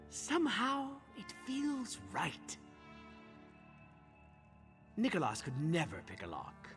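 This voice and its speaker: Brooding thief over clear music. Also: male voice, a little high. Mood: cool, pondering. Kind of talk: scripted video game dialogue.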